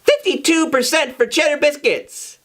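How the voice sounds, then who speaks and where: A man voicing an eager, easily flustered teenage girl in a story with a squeaky, pleading manner. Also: expressive, moderate pace, a bit bright, exaggerated voice.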